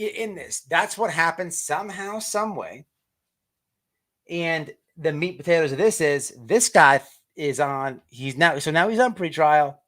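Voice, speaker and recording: Man, slightly high voice, online show host, unscripted commentary, halting delivery, repeated words. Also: a bit bright. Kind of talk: off-the-cuff livestream commentary.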